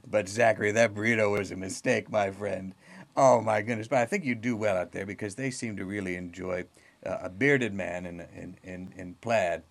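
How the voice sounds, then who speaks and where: Low-voiced man hosting a folksy interview. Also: steady tone, normal speed, creaky. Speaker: podcast host. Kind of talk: comic, chatty interview.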